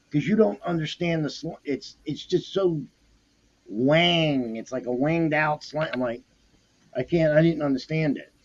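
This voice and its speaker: A man's voice: fairly high pitch, darkish tone, husky. A man with a New York accent bantering bluntly with friends on a live stream. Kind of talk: casual chat. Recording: muffled lo-fi audio.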